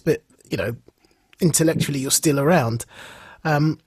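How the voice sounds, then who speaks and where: A man co-hosting a podcast, talking casually and haltingly, repeating words for emphasis. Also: a little high, darkish tone, breathy edge, dry recording. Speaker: podcast co-host. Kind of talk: casual chat.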